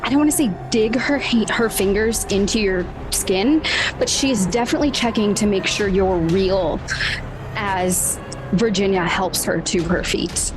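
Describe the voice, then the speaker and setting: A woman's voice: mid-range voice, measured pace, rough recording. A woman playing a tabletop roleplaying game, improvising a description of her character's actions. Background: background music.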